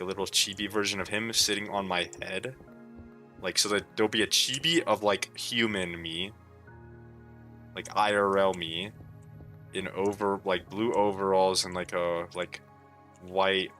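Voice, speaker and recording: Tired low-voiced young man streaming. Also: sharp tone. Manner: laid-back, low and mumbly, lots of "like" fillers. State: tired, sore throat. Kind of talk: casual rambling stream talk.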